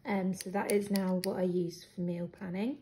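A young British woman showing her planner supplies in a casual, hesitant way, with a croaky voice and lots of ums. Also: on the low side, flat delivery, moderate pace. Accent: British English. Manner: hesitant, many ums, soft laughs. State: croaky, clearing throat. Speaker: planner vlogger. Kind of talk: casual show-and-tell. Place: living room with hard floor. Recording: echoey room, faint noise.

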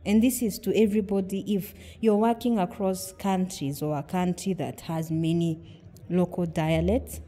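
A woman's voice: fairly low pitch, fairly even intonation, moderate pace, mellow. A middle-aged woman from Kenya, a podcast guest, explaining earnestly with examples and rhetorical questions. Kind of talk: conversational interview.

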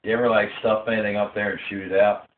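A man's voice: on the low side, little pitch movement, quick pace. A man making a casual prank phone call, talking in a crude, goading way. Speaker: prank caller. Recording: roomy, noisy tinny phone recording.

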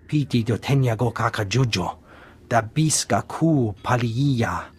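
Raspy alien gangster. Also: male voice, medium pitch, expressive, measured pace. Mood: brusque. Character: red-skinned alien male gangster speaking an alien tongue. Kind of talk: video game cutscene acting.

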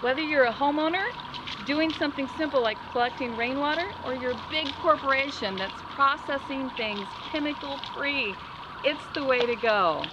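A woman's voice: sits high, moderate pace, lo-fi sound. Enthusiastic woman vlogging outdoors. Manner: enthusiastic, exclamatory. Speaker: vlog host. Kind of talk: upbeat garden vlog to camera. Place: outdoor garden beside a house. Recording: outdoor camera audio with clear background noise.

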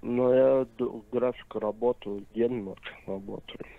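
A man answering briefly and haltingly in casual talk over a telephone. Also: medium pitch, fairly even intonation, vocal fry, dry recording. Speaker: amateur rapper receiving a phone call. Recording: tinny narrowband phone line.

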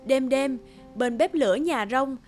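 A woman reading a short scripted introduction slowly and lyrically, with music under her voice. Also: high voice. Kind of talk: scripted narration.

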